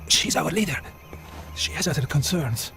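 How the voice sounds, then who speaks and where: An actor playing an earnest, loyal resistance fighter in a video game, speaking gravely. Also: male voice, on the high side, lively intonation, normal speed, bright, forceful tone, faint music behind, exaggerated voice. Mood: admiring, grave. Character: earnest, loyal male Italian partisan fighter. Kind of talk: video game cutscene acting.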